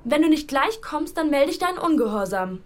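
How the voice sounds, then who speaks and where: An actress playing an excitable young convent girl in a radio drama. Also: high-pitched, brisk. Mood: urgent, threatening.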